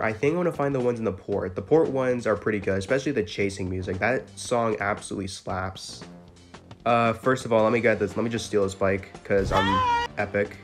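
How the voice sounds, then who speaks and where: A man giving casual, slangy commentary over gameplay as a gaming video host. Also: medium pitch. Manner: informal, slangy. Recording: music behind the voice.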